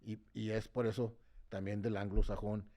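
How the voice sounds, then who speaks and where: Man, interviewee, impassioned talk, mellow warm tone, repeats words, halting. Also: on the low side, little pitch movement. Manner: repeats words while searching, tags phrases with "no?"; switches between English and Spanish. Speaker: interviewee, march organizer.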